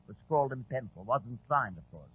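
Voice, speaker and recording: An officious police inspector, emphatic and blustering. His delivery swings widely in a husky, highish voice, on a tinny old 1940s radio drama recording. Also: animated, fairly quick.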